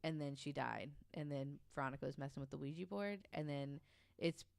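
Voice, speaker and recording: A man chatting casually on a podcast, informal and expressive as he tells stories. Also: a little high, brisk, creaky, smooth, dry recording. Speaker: podcast panelist. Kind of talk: casual storytelling chat.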